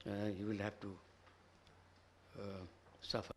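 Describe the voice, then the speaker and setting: An older man speaks very slowly, his voice gravelly and slightly hoarse. He weighs each word carefully, pausing often as he addresses the audience. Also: fairly low pitch, completely flat delivery. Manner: slow, measured, choosing words carefully. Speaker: chief guest, professor emeritus of neurosurgery. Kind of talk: formal address. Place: conference hall.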